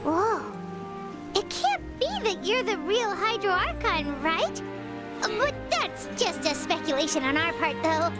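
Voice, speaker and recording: A woman's voice: very high voice, big pitch swings, unhurried, full character voice. A woman voicing a tiny, childlike fairy companion in a video game, chirpy, curious, blunt and exclamatory. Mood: curious, awkward. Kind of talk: video game character acting. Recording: loud game music behind voice.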